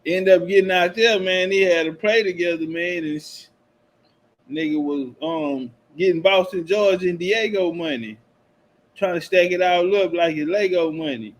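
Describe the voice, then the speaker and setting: A man's voice: high voice, normal speed. A man hosting a livestream with casual, streetwise, slangy commentary. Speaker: livestream host commenting on a video. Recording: clear background noise and ambience.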